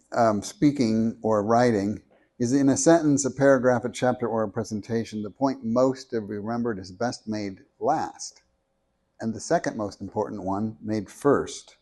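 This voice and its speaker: Soft-spoken man reading a prepared talk. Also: on the low side. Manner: measured, deliberate, with small restarts. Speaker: speaker at a meeting. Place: meeting room with an audience. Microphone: handheld microphone.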